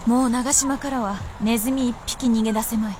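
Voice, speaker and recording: A woman's voice: a little high, fairly even intonation, fairly quick, noisy background, natural voice. A woman playing an aloof, proud immortal princess in a Japanese period radio drama, with archaic, composed, lordly speech. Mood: composed, instructing.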